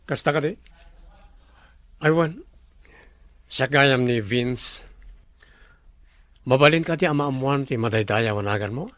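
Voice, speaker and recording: A man's voice: medium pitch, a little slow, pauses often, a bit bright, no room sound, close to an everyday voice. A man with an Ilocano accent playing a suave, courteous resort owner in a radio drama. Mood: courteous, charming.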